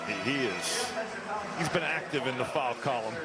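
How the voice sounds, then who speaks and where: Man, basketball colour commentator, conversational analysis, medium pitch. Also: lively intonation, moderate pace.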